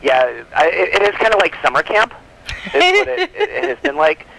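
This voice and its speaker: Man, bright forceful voice, casual guest chat, jokey, frequent "like", faint noise behind. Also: high voice, huge pitch swings, moderate pace, gravelly, poor audio. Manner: chatty, jokey, peppers talk with "like". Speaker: comic book publisher guest on a show.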